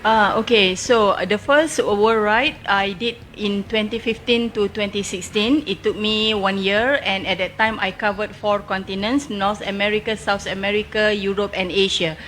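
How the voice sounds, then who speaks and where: A woman with a Malaysian accent answering as an interview guest, telling travel stories step by step in halting, unscripted English. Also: mid-range voice, a bit bright, slight background noise.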